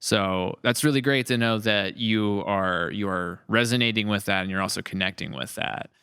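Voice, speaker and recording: A man's voice: lowish voice, normal speed, sharp tone, clean tone, dry recording, clean studio sound. A man hosting a casual podcast interview, talking in a conversational, explanatory way. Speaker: podcast host and series creator.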